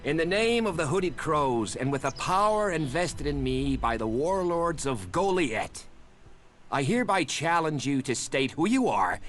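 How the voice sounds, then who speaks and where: Pompous, swooping gate guard. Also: male voice, a little high, big pitch swings, unhurried, a bit bright. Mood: pompous, challenging. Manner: pompous, declamatory. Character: pompous, officious male town gate guard. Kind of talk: video game acting.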